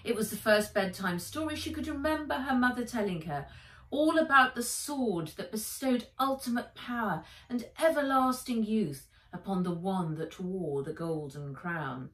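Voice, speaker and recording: A middle-aged woman reading a children's story aloud as its author, with expressive, emphatic dramatic narration. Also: medium pitch, moderate pace. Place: sitting room by a window, indoors. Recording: roomy, slightly distant home recording.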